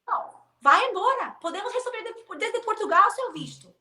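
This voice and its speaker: High bright woman, echoey room. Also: animated, fairly quick, a little hiss or hum. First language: English. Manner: speaks learned Portuguese with slight hesitations. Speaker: immigration lawyer. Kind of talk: podcast interview answers.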